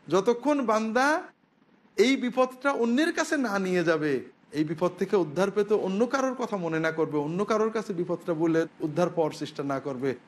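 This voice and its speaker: A man preaching as an Islamic scholar, explaining emphatically to viewers. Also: high voice. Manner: emphatic, explanatory, with rhetorical questions. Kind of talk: religious sermon to viewers, with Arabic quotations.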